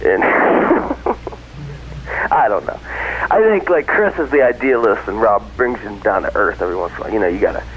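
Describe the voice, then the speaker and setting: A man's voice: on the low side, expressive, normal speed. A man calling in to a radio show, chatting casually and rambling with lots of fillers. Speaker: caller. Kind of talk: casual call-in chat. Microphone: phone line. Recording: dull lo-fi phone line with faint noise.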